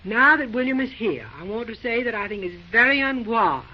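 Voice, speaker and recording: A woman's voice: a little high, lively intonation, a little fast, close to an everyday voice. Woman acting a snobbish middle-aged matron, domineering, haughty, scolding, vintage radio play, dull recording with faint noise. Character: snobbish, domineering society wife and disapproving mother. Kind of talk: old-time radio drama acting.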